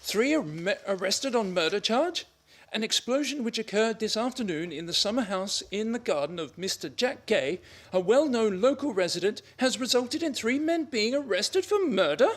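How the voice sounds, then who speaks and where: A woman's voice: on the high side, animated, normal speed. A woman playing a cocky, hot-tempered man in a farcical radio play, bossy and blustering. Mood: appalled. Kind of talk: stage farce performed as radio play.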